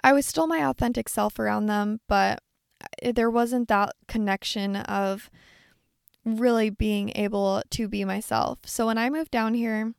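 A woman in her twenties hosting a podcast alone, chatting in an effusive, rambling way, her voice a bit raspy. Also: a little high, fairly even intonation, unhurried, no echo, clean studio sound. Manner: chatty, effusive, lots of likes and little laughs. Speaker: podcast host. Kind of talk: casual solo podcast monologue.

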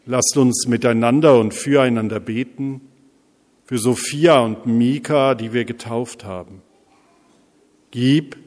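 A man leading intercessory prayer as a pastor, speaking solemnly in measured, deliberate phrases with pauses. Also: mid-range voice, darkish tone. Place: large reverberant church interior. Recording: echoey church hall, long reverb.

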